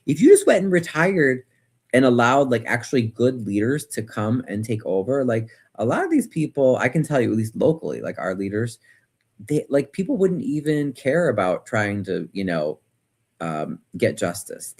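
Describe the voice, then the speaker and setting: An American man with a mid-pitched voice is hosting a livestream. He talks casually and wanders from one thought to the next while reacting to viewer comments. His delivery is animated and opinionated, with emphatic repetition. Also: husky. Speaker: livestream host.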